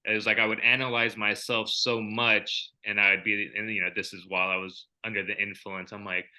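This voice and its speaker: Young adult man, podcast co-host, reflective rambling chat, lowish pitch with a very bright edge. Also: little pitch movement, a little fast, smooth, poor audio. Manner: reflective, rambling, many fillers. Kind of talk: casual chat.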